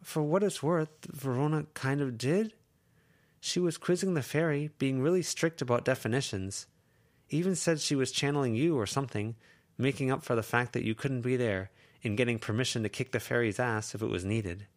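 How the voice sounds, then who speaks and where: A man's voice: mid-range voice, normal speed, pitched above the performer's own voice, natural voice. A man voicing a hesitant, glum teenage girl in an audiobook. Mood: tentative.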